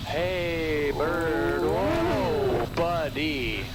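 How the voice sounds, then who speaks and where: A man calling out short, drawn-out, repeated exclamations in a played sound clip. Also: fairly high pitch, sing-song, heavy background noise.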